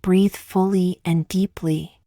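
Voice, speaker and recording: A woman leading a guided meditation in a calm, gently measured, scripted style with long pauses between phrases. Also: lowish voice, no room sound, studio quality.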